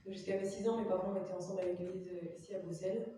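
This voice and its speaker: A young woman from Belgium with a Belgian French accent, giving a halting personal testimony in a chapel, pausing often with fillers. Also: medium pitch, fairly even intonation, dark tone, slight background noise. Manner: hesitant, many fillers. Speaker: testimony giver. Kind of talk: personal testimony in church, interpreted. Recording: echoey chapel from far off.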